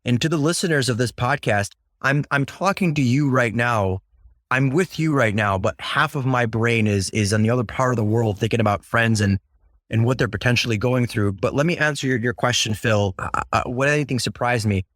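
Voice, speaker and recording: A man's voice: medium pitch, brisk, fairly bright tone, no echo, studio quality. A Ukrainian-born man, a podcast guest, talking earnestly and often repeating words as he searches for the right phrasing. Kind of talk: conversational interview.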